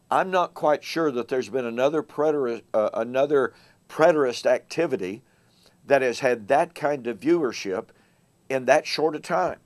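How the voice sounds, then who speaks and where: A man hosts a casual morning Bible study, his voice still thin and strained from a recent bout of laryngitis. He presses his points with emphasis, repeating words and dropping in fillers as he goes. His pitch sits in an ordinary middle range. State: weak voice recovering from laryngitis. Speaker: host. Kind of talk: casual Bible teaching monologue.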